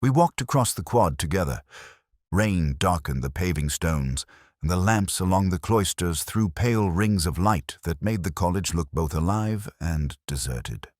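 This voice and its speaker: A man narrating a first-person detective story in a measured, literary, reflective way. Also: low voice, animated, a little mellow, no echo, studio quality.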